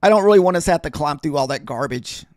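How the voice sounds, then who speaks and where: Male streamer, casual rambling chat, slightly scratchy, fairly high, dry sound. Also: expressive, fast, a little mellow, dry recording. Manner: rambling, chatty, talks to viewers in chat. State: scratchy voice, clearing throat. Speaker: trading livestream host.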